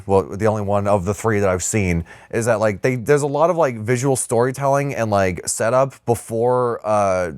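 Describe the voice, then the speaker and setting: A man's voice: on the low side, no room sound, studio quality. A man co-hosting a podcast, chatting casually about films in a rambling way with some swearing. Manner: rambling, profane, hedging with lots of "like". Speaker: podcast co-host discussing movies. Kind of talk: casual film chat.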